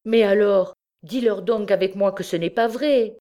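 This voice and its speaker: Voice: medium pitch, measured pace, darkish tone, clean tone, lo-fi sound, lightly acted. A narrator voicing a distraught mother who pleads vehemently for her accused son in a dramatic storybook reading. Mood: desperate pleading. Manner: vehement, imploring, exclamatory.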